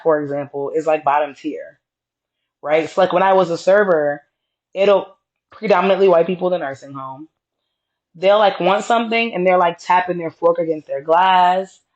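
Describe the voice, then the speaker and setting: A woman co-hosting a podcast in casual conversation, with a deeper voice and a reserved, straightforward way of talking. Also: a little slow, highs cut off. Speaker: podcast co-host.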